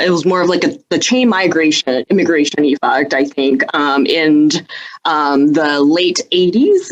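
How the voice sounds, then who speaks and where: A woman in her thirties, a native Cantonese speaker from southern China raised in Hawaii, answering interview questions in a measured way with many ums. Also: low-pitched, low-quality recording. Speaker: state health official, guest.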